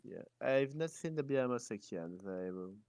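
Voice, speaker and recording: A man's voice: mid-range voice, lively intonation, moderate pace, a little mellow, smooth, dry recording. A man with a French accent chatting casually on a live stream over a voice call, insistent and hesitant with many restarts. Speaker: stream guest.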